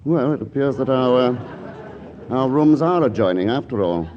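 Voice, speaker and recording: An actor playing a suave, wistful, teasing painter in a 1940s radio romantic comedy, with a wry, hesitant delivery. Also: male voice, mid-range voice, lively intonation, normal speed, slight background noise, close to an everyday voice. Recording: dull vintage radio recording, music behind.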